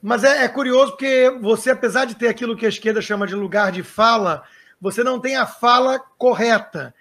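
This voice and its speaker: A man's voice: extremely high pitch, fairly quick, a bit bright. A man hosting an interview, talking in long conversational runs with frequent restarts and hesitations. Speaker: interviewer, show host.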